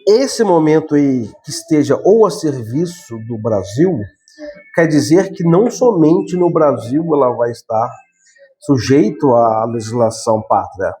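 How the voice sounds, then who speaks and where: A Brazilian man gives a conversational law lesson, checking in with his listeners through frequent tag questions and correcting himself as he goes. His voice is warm and mellow, sitting in an ordinary middle pitch for a man. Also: animated, measured pace. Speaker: law teacher. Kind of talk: explanatory lecture.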